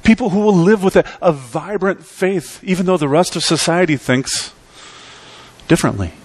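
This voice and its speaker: A middle-aged man preaching in a church, speaking from a lectern with emphatic, rhythmic delivery that swings between loud peaks and quiet drops. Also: normal speed, breathy edge. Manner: emphatic preaching with sweeping pitch and volume swings. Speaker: pastor. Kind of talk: sermon. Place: church sanctuary.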